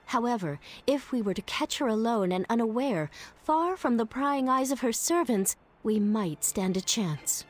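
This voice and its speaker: A measured noble strategist. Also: female voice, a little high, animated, faint music behind. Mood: calm. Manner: measured, formal.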